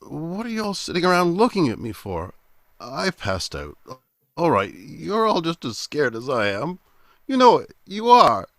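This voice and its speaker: A man's voice: fairly high pitch, huge pitch swings, unhurried, a bit bright, no room sound, exaggerated voice. Casting: man as cowardly radio-play blusterer, accusatory and stammering, big swoops in inflection, dry close sound, melodramatic terror. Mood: shaken, defensive. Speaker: voice actor. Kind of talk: 1940s radio drama acting.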